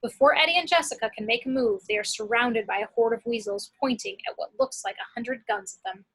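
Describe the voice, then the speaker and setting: Woman narrator, crisp bright tone, higher pitch, scripted action description, amateur table read. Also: fairly quick, poor audio.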